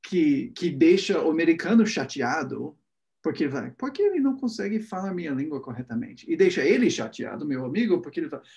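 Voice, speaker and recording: A man's voice: on the high side, sing-song, a little fast, vocal fry, darkish tone. A man whose first language is English, an English teacher hosting a casual chat in Portuguese, playfully acting out words and pronunciations.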